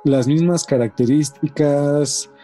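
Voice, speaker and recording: A man's voice: a little high, steady tone, slow, dark tone. A man with a Mexican accent hosting a podcast, telling stories casually and repeating words for emphasis. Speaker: podcast host. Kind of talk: casual, animated storytelling. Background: faint music.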